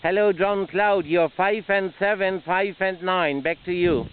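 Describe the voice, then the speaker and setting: A man's voice: high-pitched, fairly even intonation, a little slow, smooth. A man, an amateur radio operator making contacts and commenting outdoors, spelling things out phonetically. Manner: repeats and spells out call signs phonetically. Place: outdoors by a river in strong wind. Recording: tinny band-limited audio, loud wind noise. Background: loud wind and noise.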